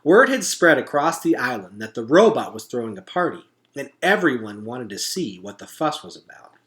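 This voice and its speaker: Bright-voiced man reading a storybook aloud. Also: medium pitch, sing-song, moderate pace. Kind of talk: expressive storybook narration.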